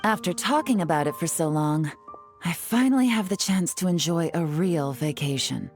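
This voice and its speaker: A woman voicing a poised, cool and teasing female agent in a video game, measured, suave and articulate. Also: lowish voice, animated, darkish tone, faint music behind. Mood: relaxed, teasing. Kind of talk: dramatic video game voice acting.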